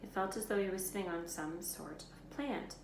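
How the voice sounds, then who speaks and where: A woman reading a children's novel aloud as an expressive storyteller. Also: medium pitch, moderate pace. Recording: roomy echo, faint background noise.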